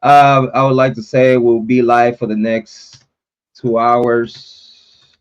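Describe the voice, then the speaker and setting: Slow-talking man hosting a livestream. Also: mid-range voice, level tone, clean tone, muffled recording. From Puerto Rico. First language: Spanish. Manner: rambling, chatty, drawn-out with fillers and cursing. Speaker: livestream host. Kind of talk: casual livestream chat.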